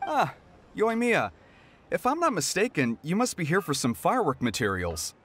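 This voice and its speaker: A man's voice: sits high, huge pitch swings, normal speed, noisy background. A young man voicing a polite, flustered apprentice smith in a video game.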